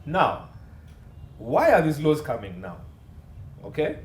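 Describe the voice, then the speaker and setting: A man's voice: on the high side, huge pitch swings, takes it slowly. Ugandan man explaining in a roomy echo. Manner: emphatic, listing points. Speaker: entertainment and media law consultant, interview guest. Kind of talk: explanatory interview talk. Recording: roomy echo, faint background noise.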